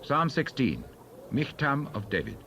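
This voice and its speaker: A man's voice: medium pitch, huge pitch swings, a little slow, bright, forceful tone, slight background noise. A man giving formal, scripted announcements of scripture titles.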